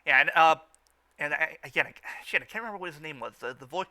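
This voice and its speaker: Bright, stammering man with a higher voice. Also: lively intonation, fast talker. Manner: stammering, repeats words and phrases often. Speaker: podcast co-host reviewing a cartoon. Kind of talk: casual fan discussion.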